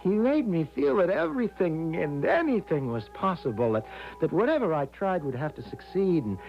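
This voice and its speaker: A man's voice: a little high, big pitch swings, moderate pace. An actor playing a timid, naive, anxious young American man in a 1970s radio drama, stammering and hesitant as he talks and narrates. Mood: dreamy, elated. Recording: dull lo-fi 1970s radio broadcast, music behind.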